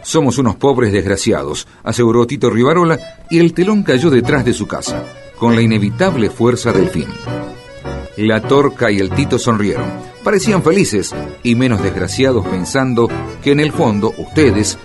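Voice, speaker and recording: Animated Argentine male narrator over music. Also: mid-range voice, moderate pace. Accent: Argentine, Rioplatense. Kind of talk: scripted theatrical narration. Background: music with guitar and strings.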